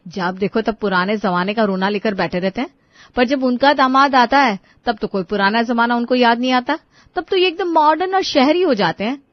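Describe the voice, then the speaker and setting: A woman's voice: a little high, normal speed, no echo, close to an everyday voice. A woman voicing a fed-up young daughter-in-law in a family story, grumbling and gossipy. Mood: exasperated. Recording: dull lo-fi audio.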